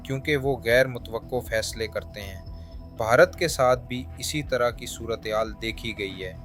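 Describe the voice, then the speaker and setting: A man presenting a scripted news narration in formal Urdu diction. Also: medium pitch. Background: background music.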